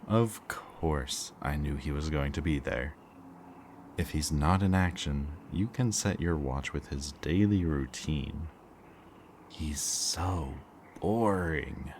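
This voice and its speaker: A man playing a smug, taunting kidnapper villain in an audio roleplay, with a mocking, theatrically drawn-out delivery. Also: extremely low pitch, darkish tone, a little hiss or hum, full character voice. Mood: gloating, amused. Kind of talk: scripted audio roleplay, ASMR.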